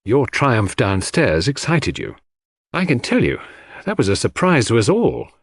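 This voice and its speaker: A man voicing an earnest, loyal gentleman sidekick with a hearty, old-fashioned chummy manner in a mystery audiobook. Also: medium pitch, sing-song, measured pace, breathy edge, dry recording, studio quality, full character voice. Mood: consoling.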